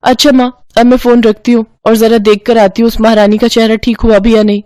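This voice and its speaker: A woman's voice: a little high, little pitch movement, a little fast, mellow, no echo, studio quality, lightly acted. A woman voicing a scheming young daughter-in-law in a family story, sweetly bossy and dramatic. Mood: exasperated.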